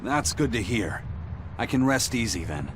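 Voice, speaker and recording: A man playing a gruff, laconic tough guy with a soft side in an anime game dub, terse and low-key. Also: big pitch swings, moderate pace, noisy background, lightly acted. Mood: quiet, satisfied.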